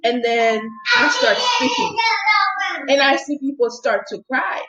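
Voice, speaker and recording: A woman healer giving an earnest motivational talk, telling a personal story in a soft, lilting voice and often checking in with "okay?". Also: high voice, big pitch swings, measured pace, dull audio, very noisy. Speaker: spiritual healer and mindset coach.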